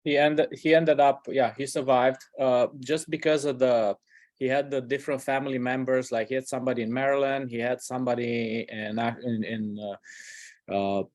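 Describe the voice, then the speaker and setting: A man with a mid-pitched voice explains a clinical case casually and hesitantly, in colloquial phrasing. The sound is dry, with no room echo. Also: steady tone, moderate pace, a little mellow. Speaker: physician presenting a case. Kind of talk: casual medical case discussion.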